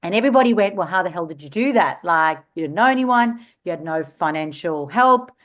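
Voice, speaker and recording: A woman in her forties from South Africa with a South African Australian accent, telling her story as a guest in an interview, animated and quick to laugh. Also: on the low side. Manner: animated, laughs easily, self-described hyperactive. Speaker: guest entrepreneur.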